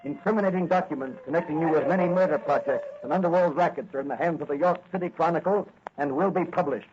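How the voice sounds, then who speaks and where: An actor in a 1940s radio serial playing a righteous young rookie cop who fights crime in a mask. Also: male voice, fairly high pitch, fast, noisy background, lightly acted. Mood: stern, accusing. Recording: dull, lo-fi old radio recording.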